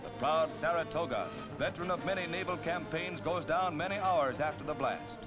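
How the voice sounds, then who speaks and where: A man's voice: a little high, moderate pace, over music. An American man reading scripted 1940s newsreel narration in a dramatic, declamatory manner, on a period film soundtrack. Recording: dull, band-limited 1940s newsreel soundtrack.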